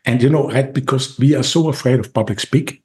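A man's voice: mid-range voice, quick pace, darkish tone. Man with an Austrian accent. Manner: eager, talkative, with many restarts and fillers. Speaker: podcast guest. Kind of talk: casual interview talk.

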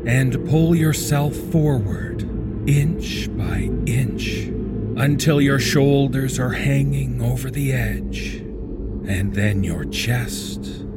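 Man, dramatic horror narration, grave, extremely measured pace, mid pitch, loud music bed. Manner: grave, deliberate, intense. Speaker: story narrator. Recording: prominent music bed behind the voice.

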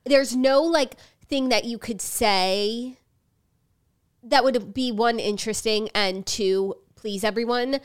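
Brief: young woman with a cold, nasal and a bit high, dry sarcastic podcast co-host. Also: takes it slowly, smooth. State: sick, nasal voice. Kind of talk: casual chat.

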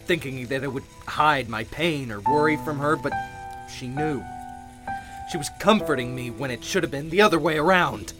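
A man playing a hesitant, guilt-ridden widowed husband confiding in an audio drama, with halting delivery. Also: a little high, close to an everyday voice. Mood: grieving, subdued. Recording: background music under the voice.

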